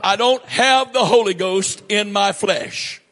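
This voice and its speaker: A male pastor preaches in a church, his voice sitting high for a man. He reads scripture and then explains it at a slow, unhurried pace, stressing his points with measured pauses. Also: fairly bright tone. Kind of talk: sermon with scripture reading.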